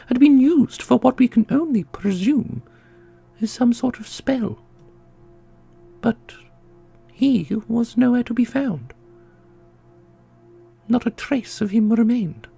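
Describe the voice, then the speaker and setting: A woman playing an ancient female custodian in a fantasy audio drama, dignified and sorrowful, speaking in a formal, measured, gentle way. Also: a little high, creaky, slightly rough, heavily acted.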